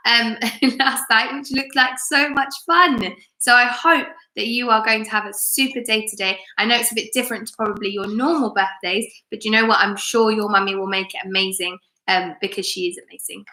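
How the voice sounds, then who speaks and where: Bright, echoey woman teacher, encouraging and expressive. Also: on the high side, brisk, few pauses. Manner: expressive, encouraging, addresses viewers directly. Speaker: teacher hosting live children's story time. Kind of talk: chatty live read-aloud for children. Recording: echoey room.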